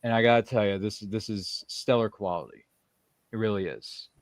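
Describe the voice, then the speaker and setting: A man's voice: on the low side, moderate pace, no room sound. A man in his thirties hosting a casual livestream interview, effusive and jokey, with very colloquial phrasing. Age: 30s. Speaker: talk show host.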